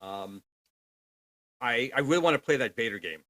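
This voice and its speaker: A man's voice: mid-range voice, lively intonation, normal speed, fairly bright tone, no room sound. A man chatting casually as a panelist on a gaming news show, in a rambling, jokey manner. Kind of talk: casual chat.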